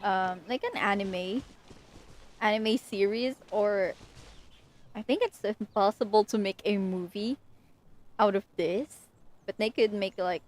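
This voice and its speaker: A woman's voice: medium pitch, measured pace, long pauses. A young woman giving casual livestream commentary as she plays a video game. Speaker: game streamer.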